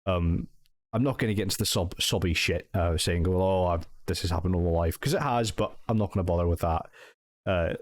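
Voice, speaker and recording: A man's voice: sits low, a little fast. A young Scottish man talking hesitantly and sincerely in a personal vlog update, full of restarts and thanks. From Scotland. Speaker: channel owner and roleplay group member.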